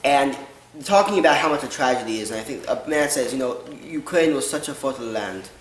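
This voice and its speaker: Guy talking about a movie, stumbles a lot, lively pitch, echoey room. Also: brisk. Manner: hesitant, restarting, earnest. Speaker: film reviewer. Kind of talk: casual chat. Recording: echoey room, faint noise.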